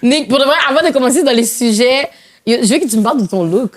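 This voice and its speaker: A woman's voice: fairly high pitch, expressive, fast talker. A woman with a Quebec French accent co-hosting a podcast, bubbly and switching into English slang. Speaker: podcast co-host. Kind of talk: casual podcast chat.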